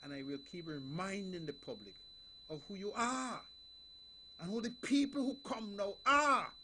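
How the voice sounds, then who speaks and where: A man with a Nevisian accent and a fairly high voice delivers a political address on the radio. He speaks emphatically, repeating himself and building phrases with a preacher's rhythm. A faint hum sits under the recording. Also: lively intonation, moderate pace, sharp tone. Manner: emphatic, repetitive, preaching cadence.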